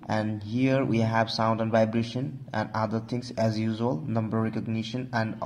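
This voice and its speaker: A man's voice: on the low side, flat delivery, normal speed. A man hosting an informal phone tutorial, talking through steps with repeated stock phrases. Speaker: tech tutorial host.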